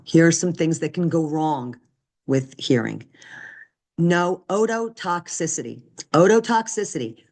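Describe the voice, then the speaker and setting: A low-pitched woman lectures on nursing topics in a relaxed, conversational way. She keeps checking in with her listeners, tossing in "right?" and "okay?" as she goes. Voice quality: deep voice. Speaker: nursing instructor. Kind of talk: recorded lecture.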